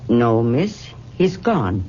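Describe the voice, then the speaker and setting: A woman's voice: very deep voice, big pitch swings, drawn-out words, mellow, exaggerated voice. An actress playing a loyal, evasive woman servant in an old-time radio drama, deferential and guarded. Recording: muffled, noisy old radio recording.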